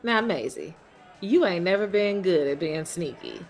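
A shrewd, proud mother with a folksy, needling manner. Her pitch moves around freely as she talks in scripted drama dialogue, over faint music. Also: lively intonation, a little slow, a little mellow. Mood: knowing, teasing.

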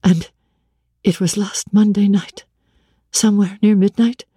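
A woman voicing a quiet, tender, earnest young woman who asks questions gently, in a dramatic classic-novel reading. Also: mid-range voice, fairly even intonation, a little slow, breathy edge, dry recording, clean studio sound, pitched above the performer's own voice. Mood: hushed wonder.

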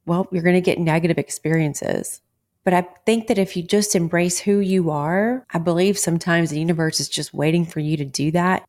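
Texas gal with a bit of a low voice, blunt and outspoken, cussing while she gives a pep talk. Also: darkish tone. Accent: Texan. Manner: blunt and outspoken, swears freely. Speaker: podcast host. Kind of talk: motivational monologue.

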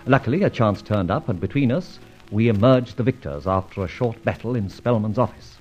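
An actor playing a suave, debonair gentleman adventurer in an old radio serial, urbane, wordy and teasing in a mock-formal way. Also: fairly low pitch, expressive, brisk, lo-fi sound, soft background music, lightly acted. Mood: wry, urbane.

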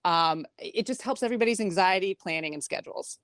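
A woman's voice: medium pitch, quick pace, dry recording, studio quality. A woman tech reporter, a radio guest, talking in a chatty, wry way and laughing easily. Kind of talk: radio interview.

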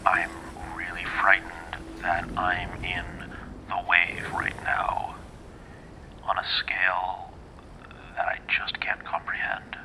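An actor playing a frightened, lost man in an intimate audio fiction monologue, speaking in halting, breathy fragments over noisy ambience. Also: low voice, completely flat delivery, sharp tone, exaggerated voice. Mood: haunted, frightened.

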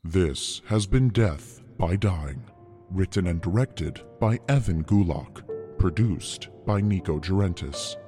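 Music plays clearly behind a man with a deep voice. He reads scripted end credits as the announcer of an audio drama. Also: dark tone.

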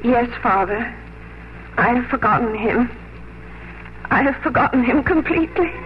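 An actress playing a gentle, sincere young English gentlewoman in a 1950s radio drama, earnest, hesitant and formal. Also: fairly high pitch, lively intonation, moderate pace, natural voice. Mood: dutiful, resigned. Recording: muffled, noisy 1950s radio recording.

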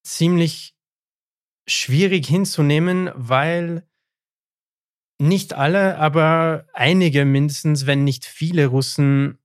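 A man's voice: fairly high pitch, fairly even intonation, slow pace, no echo. Canadian man speaking German, dry close sound. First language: English. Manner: thoughtful, hesitant with frequent fillers and restarts. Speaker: guest historian. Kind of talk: podcast interview.

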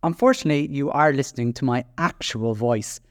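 A man's voice: medium pitch, brisk, no room sound, clean studio sound. A man with an Irish accent hosting a solo podcast, chatty and self-deprecating, with frequent fillers and restarts. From Ireland. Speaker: podcast host.

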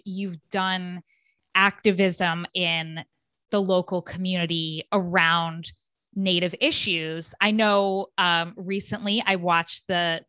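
A woman's voice: on the low side, pushed and bright, no room sound. A woman hosting a podcast interview, chatty and casual with lots of filler words. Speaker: podcast host.

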